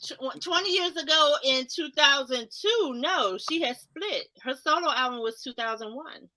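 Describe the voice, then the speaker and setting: Woman, 30s, slightly high, bright voice, joking and slangy, casual chat. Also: lively intonation, measured pace, no echo, low-quality recording.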